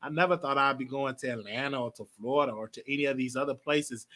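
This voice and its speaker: A man's voice: mid-range voice, fast talker, a bit bright. A man in his late twenties hosting a live call-in show, chatting casually and laughing often. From Texas. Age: 20s. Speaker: host.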